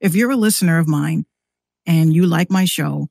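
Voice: medium pitch, moderate pace, creaky, darkish tone, no room sound, studio quality. A podcast guest who hosts a show, talking casually and at length in a rambling, conversational way.

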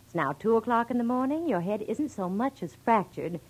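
Lively, teasing American gal in radio drama. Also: female voice, fairly high pitch, brisk, low-quality recording. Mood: teasing, reassuring. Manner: teasing, breezy, familiar. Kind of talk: 1940s radio drama acting.

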